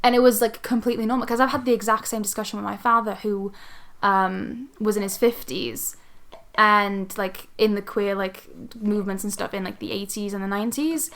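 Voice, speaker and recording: A woman's voice: medium pitch, level tone, moderate pace, a bit bright. A woman co-hosting a podcast in a casual, informal group chat, telling stories in a loose conversational way. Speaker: podcast co-host.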